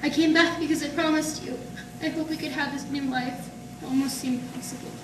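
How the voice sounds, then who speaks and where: A secretive femme fatale from 1940s radio noir played by a woman, high-voiced, earnest and pleading in a dramatic way. The sound is distant and echoey, with clear noise around the performance. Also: steady tone, a little fast, natural voice. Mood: wounded. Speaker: amateur stage radio-drama actress. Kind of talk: 1940s radio melodrama acting. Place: live performance in an art gallery. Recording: distant mic in echoey noisy room.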